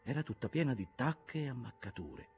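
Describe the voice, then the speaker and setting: A man's voice: medium pitch, animated, normal speed, darkish tone, faint music behind, pitched above the performer's own voice, natural voice. A man voicing a scarred, weary old blacksmith in an audiobook, speaking humbly and respectfully. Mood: humble.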